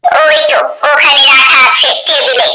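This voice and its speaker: A woman's voice: high-pitched, level tone, measured pace, roomy sound, recorded from a distance. A woman voicing a greedy, bossy elder co-wife in a cartoon, curt and scolding. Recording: noisy background ambience.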